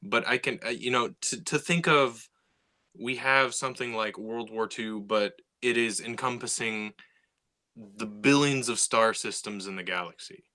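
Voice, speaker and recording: A man with a bright, forward voice co-hosts a podcast in casual conversation. He talks with animated energy, stumbling over restarts and repeated words as his thoughts run ahead. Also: medium pitch, measured pace. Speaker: podcast co-host.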